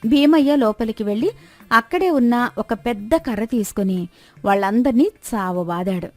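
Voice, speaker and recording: A woman's voice: a little high, dry recording. Woman narrating a story, close dry sound. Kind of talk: scripted story narration with acted dialogue.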